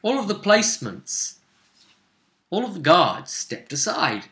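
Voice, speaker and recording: Animated, bright-voiced man recapping a game. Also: sits high, unhurried. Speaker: host recapping a tabletop role-playing campaign. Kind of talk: casual storytelling recap with a co-host.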